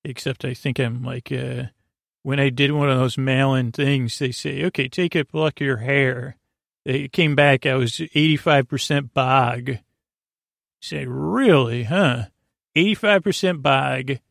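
A man hosting a bedtime podcast, rambling whimsically in a hesitant, halting way, with stammers and trailing tangents. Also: mid-range voice, vocal fry, dry recording, clean studio sound. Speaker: podcast host.

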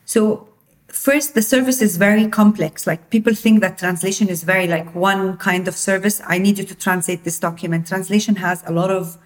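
A woman's voice: medium pitch, normal speed. A woman from Jordan, the guest founder, explaining things in conversation with frequent use of "like". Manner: explanatory, uses filler "like" often. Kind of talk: interview conversation.

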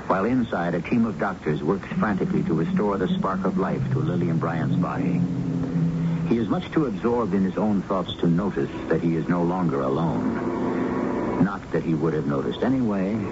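A man hosting and narrating a 1970s American radio drama in a solemn, deliberate, theatrical style. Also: deep voice, very noisy. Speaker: host and narrator. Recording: muffled radio broadcast with loud music.

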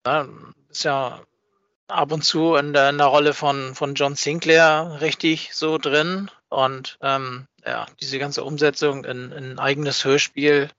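Bright-voiced man, halting casual podcast chat. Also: fairly high pitch, little pitch movement, no room sound. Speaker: fan podcast co-host. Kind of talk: casual chat about a hobby production. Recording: slightly dull, dry close recording.

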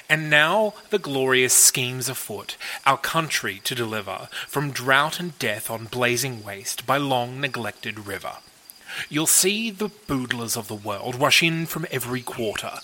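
A man's voice: mid-range voice, pushed and bright, low-quality recording. A man reading poetry aloud in a recitation style. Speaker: poetry reader. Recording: faint background noise.

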